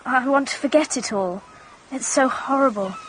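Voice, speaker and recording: A woman's voice: sits high, moderate pace, fairly bright tone, a little hiss or hum, poor audio. An actress playing a nervous, defensive teenage girl with an English accent in a radio drama. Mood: sullen, distressed.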